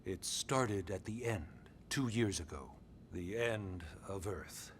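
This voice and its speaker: An actor playing a composed, authoritative middle-aged military commander in a video game cutscene, speaking in a measured, deliberate way with weighty pauses. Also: male voice, low voice, slight background noise. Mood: somber. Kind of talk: video game cutscene acting, expository dialogue.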